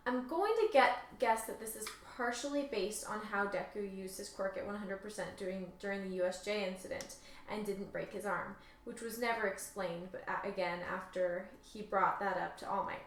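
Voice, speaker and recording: A woman's voice: medium pitch, normal speed, a little hiss or hum. A woman co-hosting a casual discussion, reading aloud and commenting. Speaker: co-host reading and discussing reviews. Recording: roomy, echoing sound.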